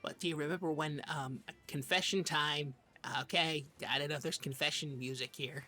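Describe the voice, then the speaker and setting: Woman podcast cohost, low pitched but sharp, keeps hesitating, bit of music behind. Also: fairly even intonation. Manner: halting, hesitant. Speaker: comedy podcast co-host. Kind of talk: improvised comic banter.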